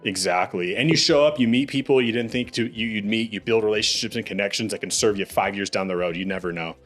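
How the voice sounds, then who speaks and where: Upbeat, low-voiced podcast host man. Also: quick pace, fairly bright tone, faint music behind. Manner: upbeat, says "dude" and "bro" a lot. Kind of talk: casual interview chat.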